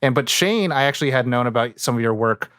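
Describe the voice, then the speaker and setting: A man's voice: medium pitch, animated, fast talker, fairly bright tone, clear, resonant tone, no room sound. Casting: male podcast host, clean resonant tone, dry sound, rambling hesitant delivery. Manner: rambling, hesitant, self-interrupting. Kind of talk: casual unscripted podcast introduction and chat.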